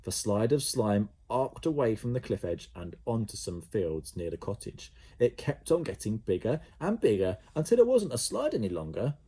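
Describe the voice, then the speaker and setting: A male primary school teacher reading a children's story aloud, animated and full of sound effects. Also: lowish voice, normal speed, hardly any pauses, slightly rough.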